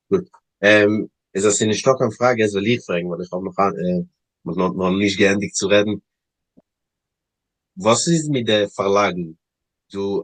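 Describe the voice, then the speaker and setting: A man with a fairly low voice, recorded dry and close, hosts an informal interview. He speaks haltingly, searching for his words as he moves between questions and announcements. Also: no room sound, low-quality recording. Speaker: interviewer and event host. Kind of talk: informal online interview, hosting.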